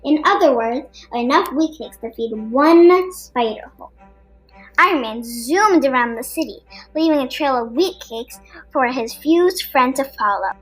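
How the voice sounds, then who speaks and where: A woman's voice: high-pitched, expressive, a little slow, low-quality recording. A woman reading a scripted children's superhero-style story aloud as a narrator. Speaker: storybook reader for a podcast. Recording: music behind the voice. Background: background music.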